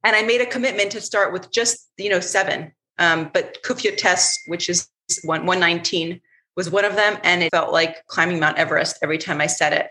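A woman's voice: on the low side, brisk, sharp tone. A woman co-hosting a podcast, speaking reflectively and searching for her words in casual conversation. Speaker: podcast co-host.

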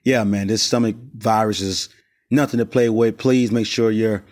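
A man hosting his own sports podcast in a loose, rambling way, voice raspy while he recovers from a stomach virus, recorded on a cell phone outside his studio. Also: on the low side, fairly even intonation, normal speed, darkish tone. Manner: loose, rambling, many fillers and restarts. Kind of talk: casual sports talk. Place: not in the studio.

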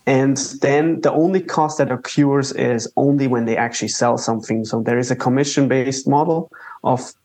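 A man's voice: mid-range voice, moderate pace. Man speaking English, casual. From Switzerland. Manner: relaxed, conversational, with small grammar slips. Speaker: guest entrepreneur. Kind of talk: interview answers.

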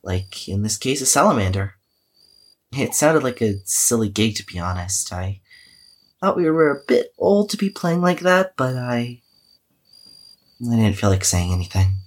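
Husky, weary young man an actor plays. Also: medium pitch, big pitch swings, measured pace. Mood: awkward, musing. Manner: hesitant, musing, wryly cynical. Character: weary, self-effacing young man recording an audio diary. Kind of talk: confessional audio diary in a horror drama podcast.

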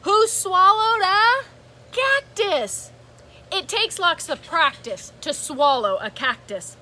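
A woman reading a rhythmic picture book aloud to children like a teacher, animated and sing-song, with asides to her listeners. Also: very high voice, a little hiss or hum. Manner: animated, sing-song, drawn-out exclamations and gasps; engaging listeners with asides and prompts. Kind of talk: rhythmic storybook read-aloud.

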